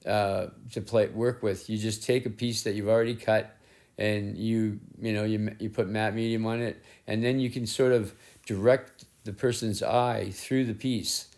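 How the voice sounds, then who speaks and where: A middle-aged male artist with a rough, raspy voice, casually narrating his work in a rambling, self-deprecating way with frequent restarts. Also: lowish voice, level tone, moderate pace, darkish tone. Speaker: collage artist and painter. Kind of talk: casual narration while working. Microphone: head-mounted action camera.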